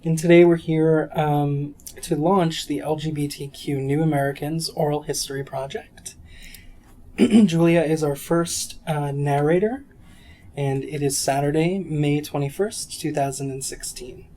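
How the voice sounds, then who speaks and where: A man's voice: on the high side, steady tone, a little slow, dark tone. A man opening an oral history interview formally and asking plain questions. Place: community center room.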